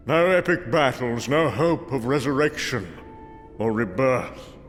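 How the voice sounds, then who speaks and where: A man's voice: medium pitch, lively intonation, a little slow, close to an everyday voice. A man playing an old, weary Norse thunder god, solemn and grave, in a dramatic comic book narration. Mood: mournful resignation. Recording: long hall reverb over loud music. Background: bowed string music.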